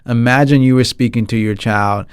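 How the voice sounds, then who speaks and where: A man's voice: mid-range voice, normal speed, smooth, dry recording, studio quality. American man, playful podcast host. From United States. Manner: animated, playful, conversational asides, often asking "right?". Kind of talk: casual film discussion to audience. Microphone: close studio mic on boom arm, headphones.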